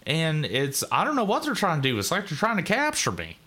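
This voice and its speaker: A man doing Doug, a rowdy, good-natured guy who talks loud and high, way above a normal man's voice. The tone is bright and forceful, and he rambles cheerfully about beating people up. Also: wildly swooping pitch, fairly quick, slight background noise, exaggerated voice. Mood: hearty. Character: excitable friendly man Doug, truck driver.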